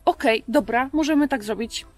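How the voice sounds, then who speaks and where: Female children's host, thirties, fairly high voice, conversational storytelling delivery, recorded outdoors. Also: moderate pace, faint music behind. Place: outdoors in a garden.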